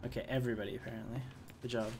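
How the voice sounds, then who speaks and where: A man's voice: medium pitch, flat delivery, racing, background noise. A man giving casual, chatty gameplay commentary, thinking aloud to his viewers as he plays. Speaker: gamer hosting a playthrough.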